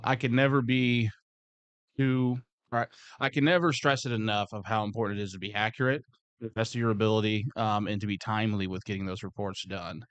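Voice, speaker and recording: A man with a slightly hoarse voice, speaking as a security supervisor in casual workplace talk, rambling and restarting his words. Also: fairly low pitch, no echo. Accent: Midwestern American.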